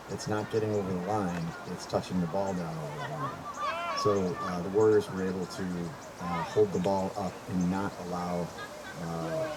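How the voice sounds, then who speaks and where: American guy doing rugby play-by-play outside, low voice, loud wind noise on the mic. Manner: explanatory, casual, frequent fillers. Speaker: commentator. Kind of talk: rugby commentary explaining rules. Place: outdoor sports field.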